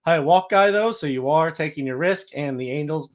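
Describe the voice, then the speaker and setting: Slightly high-voiced man talking baseball. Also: normal speed. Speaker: sports podcast host. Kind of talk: casual baseball analysis.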